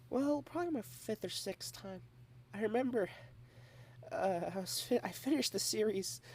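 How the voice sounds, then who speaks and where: A young adult woman in a girlfriend ASMR roleplay, rambling shyly and softly, dropping into whispers at times. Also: medium pitch, lively intonation, normal speed, slight background noise. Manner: shy, rambling, soft-spoken with whispered asides.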